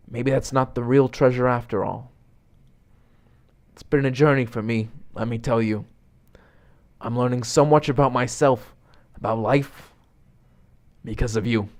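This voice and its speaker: A man voicing an insecure, emotional slacker dude in a comic story, talking in halting, self-deprecating slang. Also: medium pitch, lightly acted. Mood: heartfelt. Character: slacker hot-dog-obsessed male would-be friend, insecure, emotional, dude-ish.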